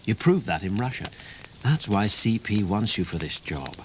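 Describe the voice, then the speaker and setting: A man's voice: fairly low pitch, sing-song, moderate pace, a little hiss or hum. An actor playing an insistent newspaperman in a radio play, firm and pressing. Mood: persuasive. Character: persuasive, insistent English newspaper heir and friend.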